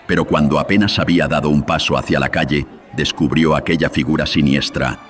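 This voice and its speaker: A man's voice: very deep voice, fairly even intonation, fairly quick, music in the background. A man playing a solemn storyteller, narrating a horror radio drama in a processed voice. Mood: solemn, ominous. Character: solemn male storyteller of dark tales. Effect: voice processed with filters.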